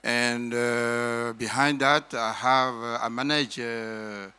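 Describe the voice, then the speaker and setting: Man from Cameroon, civil engineer, crisp bright voice, dry sound, halting. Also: medium pitch, fairly even intonation, no echo. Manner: slow, halting with fillers. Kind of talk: self-introduction on a panel.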